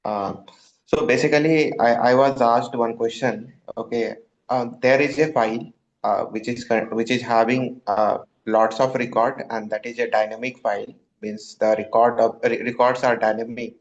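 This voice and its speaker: A man explaining a question in a casual group discussion, halting and repeating words. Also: medium pitch. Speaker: forum participant asking questions. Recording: dull lo-fi call audio.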